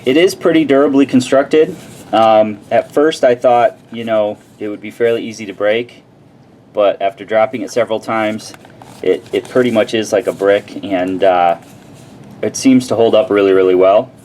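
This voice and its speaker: A man talks through a product demonstration in a casual, plain-spoken way. His voice sits a little on the low side. The recording carries clear background noise as he explains things informally, like a reviewer. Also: fairly even intonation, moderate pace, a little mellow.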